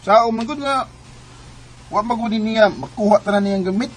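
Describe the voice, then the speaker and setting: A man's voice: sits high, moderate pace, a little hiss or hum, natural voice. An actor playing a friendly, hospitable young man in a Cebuano radio drama. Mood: welcoming, casual. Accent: Cebuano Bisaya. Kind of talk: Bisaya radio drama acting.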